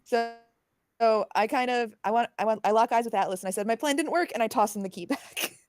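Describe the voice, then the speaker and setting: A woman's voice: a little high, brisk, a little mellow, no echo, studio quality, soft background music. A woman playing a tabletop game, chatting casually with many uses of "like.". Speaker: player. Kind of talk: casual tabletop play over voice chat.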